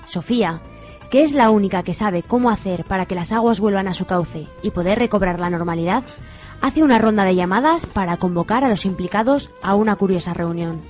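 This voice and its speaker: A woman from narrates a radio soap, steady and measured. Her pitch sits mid-range. Light music plays underneath. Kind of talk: scripted radio drama narration.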